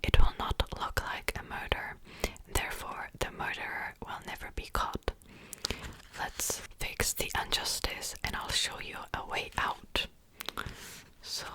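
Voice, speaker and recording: A woman from the Republic, whispering softly in a slow, rambling ASMR chat with small fillers. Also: low-quality recording. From Czech Republic. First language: Czech. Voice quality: soft unvoiced whisper. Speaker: ASMR creator. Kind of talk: whispered ASMR book haul.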